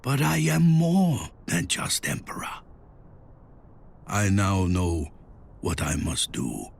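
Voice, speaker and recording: A man voicing a noble, wise Pandaren emperor in a fantasy game story, solemn and resolute in a grand, measured manner. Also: mid-range voice, wildly swooping pitch, creaky, slightly rough, exaggerated voice. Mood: solemn resolve.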